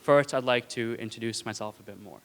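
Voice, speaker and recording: A man's voice: mid-range voice, fairly quick. A young man in his twenties from India gives an earnest student talk on stage and stutters audibly, repeating words and sounds, with light jokes for the audience. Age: 20s. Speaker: student speaker. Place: auditorium stage. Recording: long hall reverb.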